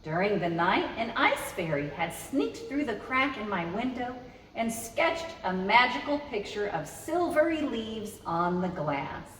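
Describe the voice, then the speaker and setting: A woman's voice: on the high side, very animated, measured pace, a bit bright. A woman reading a children's story aloud as a storytime host, expressively and speaking directly to young listeners. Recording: roomy, echoey, distant mic with noise.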